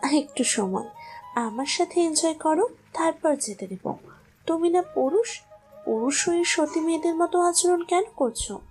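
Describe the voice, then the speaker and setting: A woman's voice: very high-pitched, expressive, measured pace, darkish tone, soft background music. A woman playing a seductive, domineering female boss in a romantic audio story, coaxing and commanding as she speaks. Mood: seductive, insistent.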